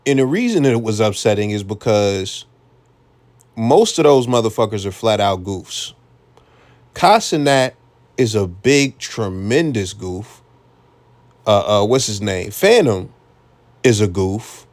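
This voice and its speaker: A man's voice: on the low side, expressive, measured pace, pauses often. An American man hosting a reaction commentary, talking bluntly and casually with frequent profanity. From United States. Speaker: commentary channel host.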